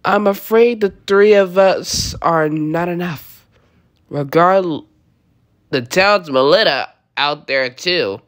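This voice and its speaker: A woman voicing a formal, reserved cat princess in an amateur comic dub, speaking politely and with measured composure. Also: on the low side. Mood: grave.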